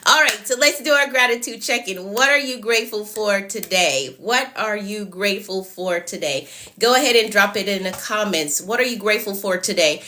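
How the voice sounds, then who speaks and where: A woman's voice: medium pitch, moderate pace, sharp tone, slight background noise. A woman with a stuffy, fading voice hosts a live stream as a productivity coach, greeting viewers by name and repeating her encouragements. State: congested and losing her voice. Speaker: productivity coach hosting a livestream.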